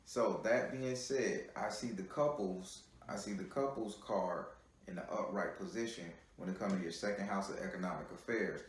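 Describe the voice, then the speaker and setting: A man with a lowish voice gives a chatty tarot reading. He teases the caller and repeats himself as he lays out each card. The sound carries heavy room echo. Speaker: tarot reader. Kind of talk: live tarot reading call.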